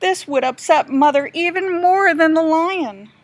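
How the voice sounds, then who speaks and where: A woman's voice: very high voice, unhurried, dry recording. A woman voicing a worried, pleading young boy in a storybook read-aloud. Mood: alarmed. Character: anxious young boy, easily upset, little brother.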